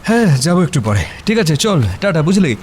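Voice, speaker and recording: A playful young man in an audio drama, teasing and mock-dramatic. His delivery swings widely in pitch, with faint ambient noise behind it. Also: very animated, brisk. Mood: cheeky teasing. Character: young theatre-mad male best friend, playful, cheeky teaser. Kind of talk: audio story dialogue in casual Bengali.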